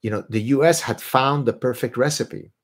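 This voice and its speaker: A man's voice: mid-range voice, moderate pace. A middle-aged man from Lebanon whose first language is Arabic, hosting a casual livestream and talking bluntly and emphatically. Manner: animated, blunt, emphatic, mocking voices when ranting. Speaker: livestream host answering viewer questions.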